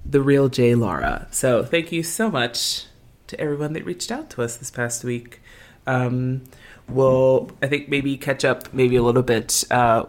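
A woman's voice: very deep voice, a little slow. A woman co-hosting a podcast from an empty apartment, chatting casually with frequent giggles and fillers. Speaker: podcast co-host. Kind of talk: casual chat.

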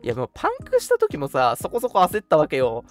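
Animated, quick-talking man telling stories. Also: on the high side, faint music behind. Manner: animated storytelling with vivid sound effects and acted quotes. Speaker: radio show host. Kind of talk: casual chat, telling anecdotes.

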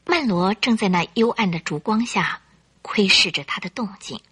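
A woman narrating a scripted radio drama in an expressive, suspenseful storytelling style. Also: medium pitch, normal speed, husky.

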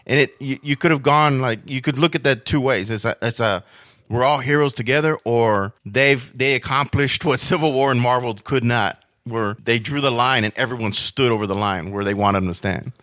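A man's voice: medium pitch, normal speed, fairly bright tone, no echo, studio quality. A man chatting casually in an informal, rambling storytelling way, as a podcast guest. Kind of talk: casual chat about superhero comics and cartoons.

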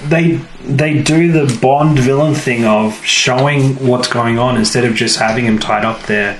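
A man's voice: medium pitch, unhurried, reverberant, a little hiss or hum, low-quality recording. A man co-hosting a podcast with casual, joking banter and playful interjections. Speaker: podcast co-host.

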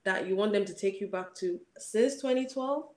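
A woman's voice: mid-range voice, moderate pace, a little mellow. Playful, argumentative woman guest. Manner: playful, argumentative, repeats her questions. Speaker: podcast guest. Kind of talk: casual chat.

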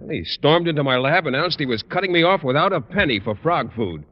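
A man playing a literal-minded American biology teacher, shy and earnest, in a lightish voice with lively pitch. The old radio comedy recording is muffled. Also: a little fast, noisy background, lightly acted. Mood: puzzled. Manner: earnest, hesitant.

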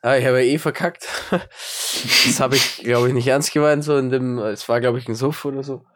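A man's voice: mid-range voice, steady tone, a little fast, noisy background. Young man with a cold, rambling. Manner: rambling, hesitant, self-mocking. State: has a cold. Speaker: podcast co-host. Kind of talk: casual chat.

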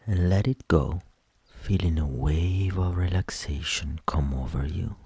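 A man leading a guided meditation in a soft, soothing voice with gentle instructions that trail into whispers. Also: sits low, slightly rough, no echo. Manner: slow, gentle instructions with long pauses.

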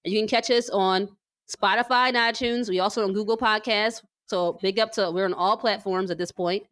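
A woman's voice: medium pitch, fairly even intonation, fairly quick, fairly bright tone, no room sound. An American woman from New Jersey co-hosting a casual, chatty podcast, speaking with emphatic repetition. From New Jersey, United States. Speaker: podcast co-host.

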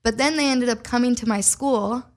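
A woman's voice: fairly high pitch, moderate pace. Chatty young woman from Minnesota. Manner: chatty, full of "like" fillers, laughs easily. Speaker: podcast guest, singer. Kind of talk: casual storytelling chat.

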